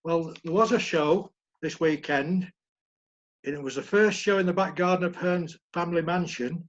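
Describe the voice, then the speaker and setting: Croaky, husky man, high-pitched, reads sports news with dry jokes over a muffled call. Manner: jokey, reads news aloud. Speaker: group member reading sports news. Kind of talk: casual chat and reading news items. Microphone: video call. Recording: muffled video call audio.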